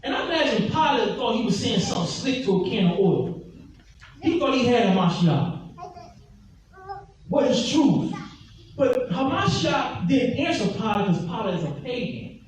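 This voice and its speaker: A man's voice: extremely high pitch, sing-song, moderate pace, long pauses. A man preaching an emphatic teaching sermon, repeating his scripture references and drawing responses from the room. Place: large echoey hall, heard from far off. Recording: echoey hall, distant mic, faint noise.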